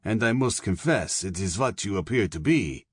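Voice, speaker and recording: A man voicing a plump, soft-spoken middle-aged German colonel with a German accent, courteous and probing. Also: fairly low pitch, animated, moderate pace, breathy edge, no echo, studio quality.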